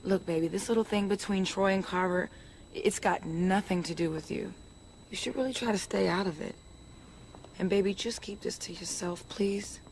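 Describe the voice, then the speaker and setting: A woman's voice: medium pitch, moderate pace, darkish tone, a little hiss or hum, close to an everyday voice. Young streetwise woman singer played by an actress. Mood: anxious, pleading. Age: young adult. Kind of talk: video game cutscene acting.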